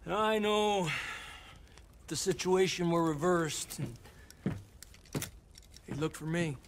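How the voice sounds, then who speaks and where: An actor playing a loyal, reserved outlaw tracker in a western game, terse and deliberate. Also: male voice, on the high side, slight background noise. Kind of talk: naturalistic western game acting. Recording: loud music behind voice.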